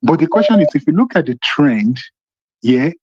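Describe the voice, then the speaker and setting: A Nigerian man with a Yoruba accent calls in on a dull, muffled phone line. His voice sits fairly high and swings widely in pitch as he argues politics emphatically, repeating himself and posing questions he then answers. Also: sing-song, moderate pace, slightly rough, no echo. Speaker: phone-in caller. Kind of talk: impassioned political phone-in commentary. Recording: muffled, band-limited phone call.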